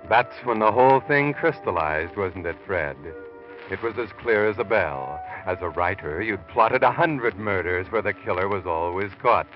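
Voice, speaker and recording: Sinister knowing storyteller man, deep voice, insinuating, vintage radio mystery, music behind. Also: lively intonation, normal speed, lightly acted. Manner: insinuating, addresses the character as you. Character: sinister omniscient male narrator, knowing and taunting. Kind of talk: 1940s radio mystery narration. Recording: muffled 1940s radio recording with music.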